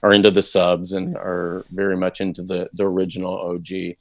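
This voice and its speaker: A man's voice: low voice, completely flat delivery, a little fast. A man, a voice actor, talking casually and thoughtfully in an interview, with many fillers and restarts. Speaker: voice actor guest.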